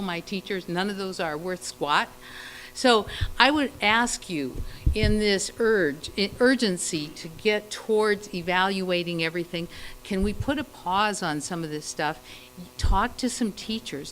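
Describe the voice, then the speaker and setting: A woman's voice: medium pitch, fairly bright tone, a little hiss or hum, poor audio. A woman giving public comment at a board meeting, passionate and full of rhetorical questions.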